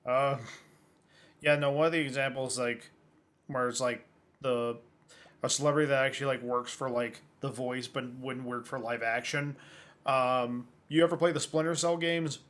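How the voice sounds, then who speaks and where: Man, podcast co-host, casual chat, rambling, lots of fillers, mid pitch. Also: steady tone, moderate pace, a bit bright. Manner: rambling, many filler likes. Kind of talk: casual chat about films and games.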